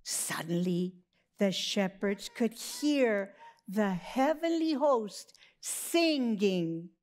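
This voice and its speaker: A woman narrating a scripted Christmas story for children, expressive, with drawn-out emphasis. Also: mid-range voice.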